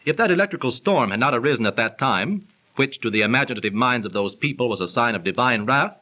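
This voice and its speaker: An actor playing a skeptical storyteller in didactic 1930s radio style, narrating and explaining. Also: male voice, mid-range voice, animated, fast, sharp tone. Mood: insistent.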